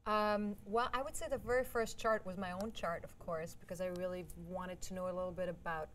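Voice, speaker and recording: A woman's voice: lowish voice, steady tone, a little fast. Woman over audible background noise. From Vienna, Austria. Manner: explanatory, laughs easily. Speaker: guest astrologer and life coach. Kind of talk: conversational interview answers.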